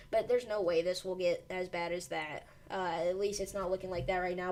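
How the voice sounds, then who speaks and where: A woman hosting a weather livestream who is losing her voice, giving urgent but reassuring storm commentary with many fillers. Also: medium pitch. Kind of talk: live storm-tracking commentary.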